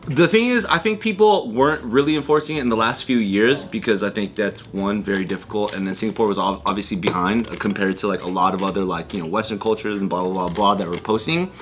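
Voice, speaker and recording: A man's voice: on the low side, fast, hardly any pauses, a bit bright, faint music behind. A man hosting a casual panel chat, rambling loosely with fillers and restarts. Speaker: host.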